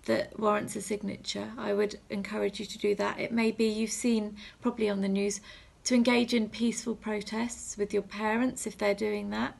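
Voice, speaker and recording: A woman in her thirties with a husky, breathy voice, giving a gentle, reflective assembly talk as a reverend, measured and halting with pauses. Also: medium pitch, little pitch movement. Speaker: reverend leading a school assembly. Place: small room at home. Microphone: phone or webcam at arm's length.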